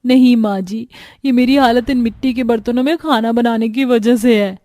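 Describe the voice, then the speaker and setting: A woman voicing a young Indian daughter-in-law, polite but easily frustrated, in an earnest, expressive cartoon family story. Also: fairly high pitch, moderate pace, no room sound, lightly acted.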